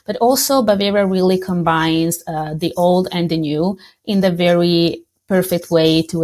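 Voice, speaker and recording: A woman from Italy with an Italian accent, answering interview questions as a tourism representative in a measured, explanatory way. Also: fairly low pitch, a little mellow. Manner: explanatory, measured, with small slips.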